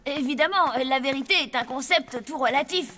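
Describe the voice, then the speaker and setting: A woman's voice: high-pitched, brisk, bright, forceful tone. A woman voicing a young zombie boy, an anxious schemer with a hesitant, bluffing manner, in a French cartoon. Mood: evasive. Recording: music behind the voice.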